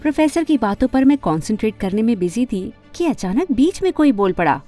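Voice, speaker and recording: Teenage girl, high voice, very lively intonation, ambitious, dramatic cartoon storytelling. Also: fairly quick, faint music behind. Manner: dramatic, expressive, mixes in English words. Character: ambitious fashion-loving teenage girl telling her story. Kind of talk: animated story narration and dialogue in Hindi.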